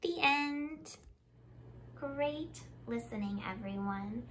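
A woman's voice: high-pitched, expressive, very slow, fairly bright tone, slight background noise. A woman in her thirties hosting a children's storytime, speaking expressively to young listeners in a living room, a few feet from the camera. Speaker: library storytime host. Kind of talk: children's picture book read-aloud. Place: small living room by a window.

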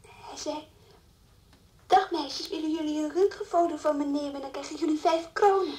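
A woman's voice: sits high, normal speed, a little mellow, far from the mic, lightly acted. An actress playing a naive, chatty young schoolgirl answering questions in a radio drama. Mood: earnest, innocent.

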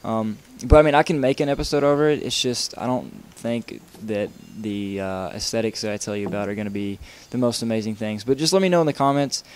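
A young man with a somewhat low voice narrates a game-building tutorial over footage. He talks loosely and casually, trailing off, restarting sentences and padding with fillers. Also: fairly quick, few pauses, a little mellow, a little hiss or hum. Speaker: video game tutorial maker. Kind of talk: rambling tutorial voice-over while playing.

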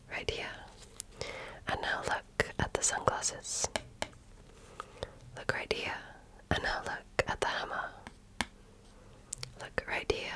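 Woman, breathy whisper, unhurried pace, gentle and precise, reassuring, ASMR medical exam roleplay. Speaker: ASMR performer playing a medical examiner. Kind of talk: ASMR roleplay, soft-spoken personal attention.

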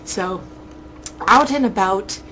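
A woman's voice: mid-range voice, takes it slowly, a bit bright, a little hiss or hum, rough recording. Gushing woman vlogging into a webcam. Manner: gushing and enthusiastic, exclaims over things. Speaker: vlogger reviewing local outings. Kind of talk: casual chatty review to webcam. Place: small room at home, indoors. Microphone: built-in webcam mic at close range.

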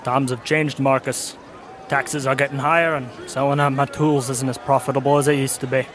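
A man playing a gruff, businesslike medieval toolmaker in an audio drama, blunt and stern as he haggles. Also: medium pitch, level tone, a little fast, fairly bright tone, some chatter behind, lightly acted. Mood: firm.

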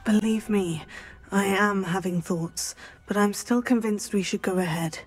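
A woman playing a calm, persuasive teenage student witch in a video game, a slightly husky voice and a polite, measured manner. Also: medium pitch, soft background music. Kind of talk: dramatic video game voice acting.

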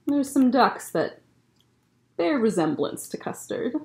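A woman's voice: on the high side, sing-song, slow, vocal fry, darkish tone. A young woman hosting a children's story time, reading a picture book aloud expressively with casual asides. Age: young adult. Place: library room lined with bookshelves, indoors.

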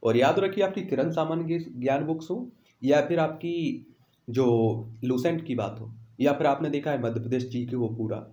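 A man's voice: fairly high pitch, normal speed, mellow. A man teaching exam preparation, explaining in an instructive way and repeating key points. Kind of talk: explanatory quiz lesson with study advice. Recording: roomy, echoing voice-over.

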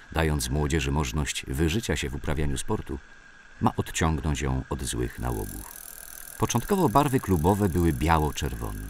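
A man with an extremely low, gravelly voice narrates a scripted memoir. His delivery is measured and storytelling, with lively rises and falls in intonation. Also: a little hiss or hum. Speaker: narrator reading memoirs for an audio drama.

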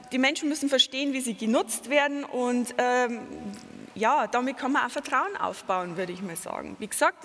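A middle-aged Bavarian woman speaks at a conference with a fairly high voice, earnest and explanatory, often pausing on fillers and correcting herself mid-phrase. Her voice rings with a long hall reverb. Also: a bit bright. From Lower Bavaria, Germany. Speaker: patient advocate speaker. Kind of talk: conference talk with slides.

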